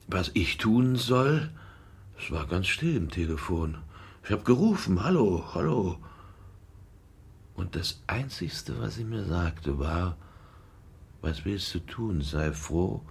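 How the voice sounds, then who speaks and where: An actor playing a bitter, insecure middle-aged former general, a wounded son, in a naturalistic radio drama. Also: male voice, low-pitched, lively intonation, slow pace, vocal fry, long pauses, slightly rough, heavily acted. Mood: hurt, bitter.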